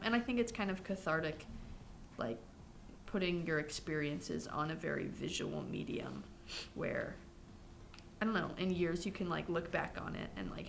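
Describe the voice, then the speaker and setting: A woman's voice: sits low, big pitch swings, normal speed, gravelly, slight background noise. A woman giving casual interview answers, hesitating with fillers as she talks.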